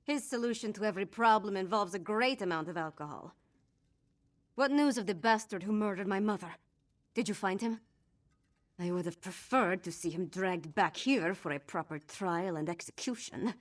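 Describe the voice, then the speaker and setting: A woman's voice: mid-range voice, moderate pace, close to an everyday voice. A woman voicing a headstrong young princess in a fantasy video game, forthright and emphatic in her delivery. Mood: impatient. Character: headstrong young princess, grieving and righteously indignant. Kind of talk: video game dialogue acting.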